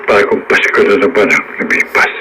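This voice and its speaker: A man's voice: lowish voice, a little fast. A man with a Filipino accent hosting a radio drama in dramatic scripted narration. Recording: noisy radio broadcast.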